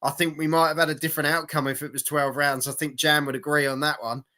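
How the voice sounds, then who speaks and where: A man hosting a boxing watch-along in casual, blokey, sweary live chat, repeating himself for emphasis, his voice going hoarse. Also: a little high, little pitch movement, fast talker, sharp tone.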